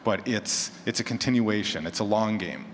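Guy chatting on a panel, lowish voice, big echoey room with some noise. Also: moderate pace. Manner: conversational, illustrates with hypothetical quotes and examples. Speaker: panelist, horror fiction podcaster. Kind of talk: casual conference panel talk. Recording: echoey hall, clear background noise.

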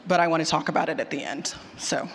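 A woman's voice: low voice, steady tone, fast, creaky, fairly bright tone, breathy edge. A woman giving an informal presentation to an audience on a handheld mic, chatty and self-interrupting with jokey asides. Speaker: conference session speaker. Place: large room with an audience. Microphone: handheld microphone. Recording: long hall reverb.

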